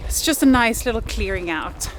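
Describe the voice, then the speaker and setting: A woman's voice: a little high, lively intonation, moderate pace, slight background noise, low-quality recording. A woman, chatting casually and upbeat while vlogging outdoors by a cabin. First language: Swedish. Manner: chatty, upbeat, light laughs. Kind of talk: casual vlog narration.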